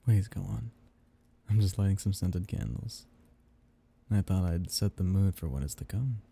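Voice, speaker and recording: Man voicing a dark, gravelly, seductive vampire captor. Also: sits low, exaggerated voice. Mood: teasing, musing. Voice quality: dark, breathy, gravelly murmur. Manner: teasing, slow-savoring, condescendingly tender. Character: seductive, possessive male vampire captor, smugly amused. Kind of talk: intimate ASMR roleplay audio drama.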